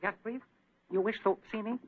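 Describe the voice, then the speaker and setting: An actor playing a polite but evasive foreign actor who hesitates often, in an old radio play with a tinny sound. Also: male voice, sits high, fairly even intonation, background noise, close to an everyday voice. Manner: hesitant, halting with many uhs. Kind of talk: 1940s radio acting.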